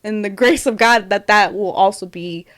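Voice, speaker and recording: A woman's voice: medium pitch, normal speed, no echo, clean studio sound. A woman in her thirties co-hosting a podcast, talking candidly in casual chat with a rambling way of speaking. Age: 30s. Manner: candid, rambling, laughs often. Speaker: podcast co-host.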